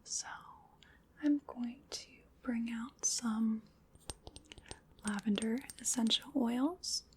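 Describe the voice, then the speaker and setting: A woman voicing a playful, chatty female vampire in a whispered ASMR roleplay, breathy, soothing and wryly polite as she dotes on her prey. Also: a little high, slow pace, a little hiss or hum.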